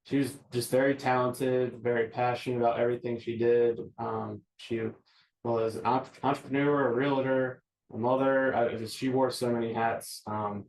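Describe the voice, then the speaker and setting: A man's voice: mid-range voice, little pitch movement, normal speed, darkish tone. A man speaking casually as a news interviewee, remembering someone. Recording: echoey room sound.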